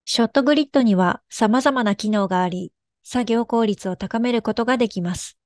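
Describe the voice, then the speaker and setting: A woman presenting in a formal, measured, explanatory way, reading a scripted technical narration. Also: a little high, a little mellow, no room sound, clean studio sound.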